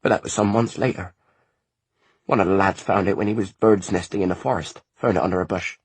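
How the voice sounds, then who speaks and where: A man's voice: low voice, steady tone, brisk, breathy edge, no echo, pitched above the performer's own voice. A man voicing a weary, grieving peasant mother, sorrowful and pleading, in an audiobook.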